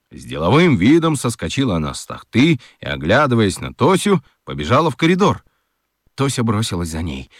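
A man narrating a children's radio play as an expressive storyteller. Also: medium pitch, no echo, clean studio sound.

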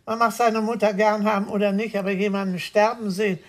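Actress as polite, solicitous housekeeper. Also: female voice, medium pitch, fairly even intonation, fairly quick, close to an everyday voice. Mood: sympathetic. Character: deferential, sympathetic female housekeeper. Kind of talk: radio play dialogue.

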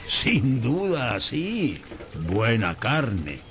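An actor playing a cruel, cunning old blind beggar master in a radio drama, with a mocking, theatrical, scolding manner. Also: male voice, medium pitch, wildly swooping pitch, drawn-out words, noisy background, exaggerated voice. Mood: gruff, bossy. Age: older. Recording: music bed behind voice.

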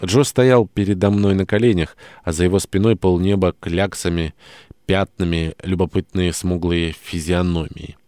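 A man's voice: low voice, fairly even intonation, normal speed, darkish tone, no echo, clean studio sound. A man reading a scripted first-person story as an audiobook narrator, acting out the dialogue as he goes.

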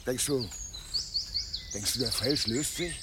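An actor playing a brooding, hard-drinking medieval husband in a German radio drama, gruff and sardonic. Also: male voice, mid-range voice, slow pace, very noisy, natural voice. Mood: troubled, impatient.

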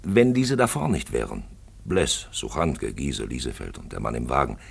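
A man acting a probing, ominous narrator-questioner in a German radio play, gravelly, theatrical and rhetorically insistent. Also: rumbling low, sing-song, moderate pace, full character voice. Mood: brooding, probing.

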